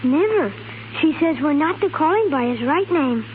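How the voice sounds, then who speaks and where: A woman's voice: high voice, lively intonation, moderate pace. A woman playing an innocent, shy little child in a 1930s radio drama. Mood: shy, earnest. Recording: hissy, narrow-band 1930s radio recording.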